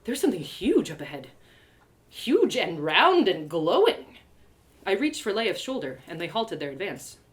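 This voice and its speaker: A woman storyteller narrates a fantasy tale in the first person. Her delivery is theatrical and expressive, with a lively pitch that rises and falls to shape each phrase. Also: moderate pace. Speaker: author reading her story aloud.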